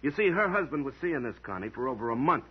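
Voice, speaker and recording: A man's voice: medium pitch, sing-song, quick pace, breathy edge. An actor playing a smug, sarcastic man with mock-courteous manners in an old-time radio crime drama. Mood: needling. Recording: muffled old radio recording.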